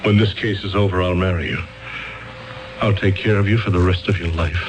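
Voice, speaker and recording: An actor playing an earnest lovesick lawyer. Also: male voice, low-pitched, fairly even intonation, a little fast, natural voice, poor audio. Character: earnest male lawyer in love. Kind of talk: 1970s radio drama acting. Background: radio drama music.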